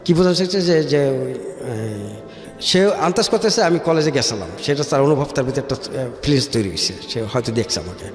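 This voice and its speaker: A man with a Bangladeshi accent telling his personal story as a radio interview guest, haltingly and often repeating words. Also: medium pitch, expressive. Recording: echoey hall-like reverb with music. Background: music.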